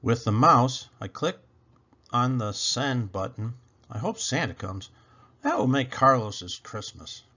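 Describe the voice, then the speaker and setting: A man reading a children's story aloud with expressive narration, as a storybook reader. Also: medium pitch, takes it slowly, muffled recording.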